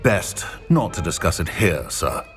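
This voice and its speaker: An actor playing a deferential potions professor, halting and guarded, in a video game cutscene. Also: male voice, low-pitched, big pitch swings, soft background music. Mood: hesitant, discreet.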